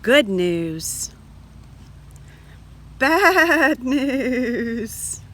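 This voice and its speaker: A woman reading picture books aloud to young children, explaining directly to her listeners with expressive delivery. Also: high-pitched, very slow. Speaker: storybook reader for children. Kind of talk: read-aloud picture book narration.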